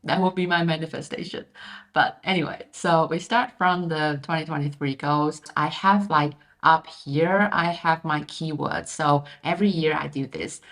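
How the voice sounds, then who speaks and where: Young woman from Taiwan, low voice, candid chatty vlogger, roomy echo. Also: steady tone, moderate pace, a bit bright. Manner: chatty, candid, repeats words for emphasis. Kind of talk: casual vlog talk. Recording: echoey room.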